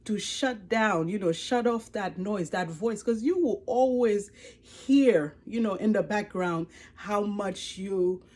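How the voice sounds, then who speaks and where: A woman in her forties with a Haitian accent, giving an earnest, expressive personal testimony to camera. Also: mid-range voice, slow pace. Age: 40s. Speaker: life coach and ministry leader. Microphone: speaking to a camera.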